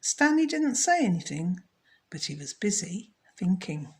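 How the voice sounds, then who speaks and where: A woman storyteller narrating a children's story in a measured, scripted read. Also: on the low side, sing-song, a little mellow.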